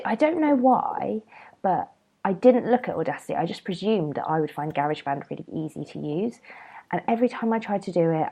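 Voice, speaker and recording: A woman's voice: low voice, vocal fry, warm tone. A young British woman chatting casually and informally as a solo podcast host, rambling a little, nasal and congested with a cold. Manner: rambling, informal, self-deprecating.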